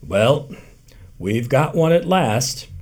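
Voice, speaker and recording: A man's voice: medium pitch, animated, slow pace, darkish tone, husky. A man doing a terse, indignant shopkeeper.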